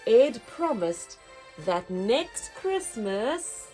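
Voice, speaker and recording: Casting: female children's narrator, high-pitched, unhurried, expressive sing-song read-aloud, light music underneath. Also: low-quality recording. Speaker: children's storyteller.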